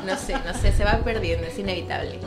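A woman with a Mexican accent chatting on a radio panel in an animated, emphatic way. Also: lowish voice, fast, vocal fry, roomy sound. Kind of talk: casual radio chat.

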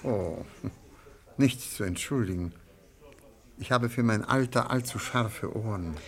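An actor playing an elderly, formal and shrewd antiques dealer who reads horoscopes, in a measured German radio drama style. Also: male voice, fairly low pitch. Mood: polite, knowing. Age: older. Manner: formal, measured, politely ornate. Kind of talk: German radio play acting.

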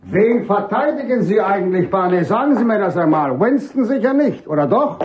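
A cynical, commanding general in a radio drama, with a high-pitched male voice. He speaks bluntly over a muffled recording with clear noise. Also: animated, moderate pace, darkish tone. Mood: impatient, stern. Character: overbearing, cynical general dressing down his subordinate.

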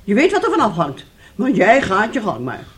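A bitter, nagging middle-aged wife. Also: female voice, medium pitch, huge pitch swings, normal speed. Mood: reproachful. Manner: reproachful, sarcastic, theatrical. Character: bitter, nagging, mocking wife of a failed composer. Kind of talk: radio drama acting.

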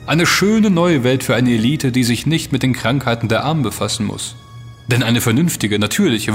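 An actor playing a self-assured, intense investigator in a German audio drama, speaking emphatically and accusingly, with dramatic delivery. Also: male voice, mid-range voice, close to an everyday voice. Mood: grim, accusing. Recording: long reverb with music underneath.

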